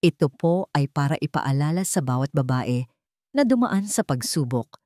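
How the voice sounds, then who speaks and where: A woman voicing a confident, sincere self-made businesswoman and mother in a dramatic story, speaking clearly and earnestly. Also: low voice, animated, normal speed, warm tone, no echo, clean studio sound. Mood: rousing, sincere. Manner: clear, earnest, formal speechmaking.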